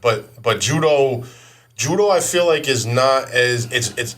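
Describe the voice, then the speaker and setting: A man's voice: medium pitch, animated, unhurried, a bit bright. A man hosting a podcast interview, talking loosely with lots of swearing and restarts. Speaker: podcast host. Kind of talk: casual interview chat.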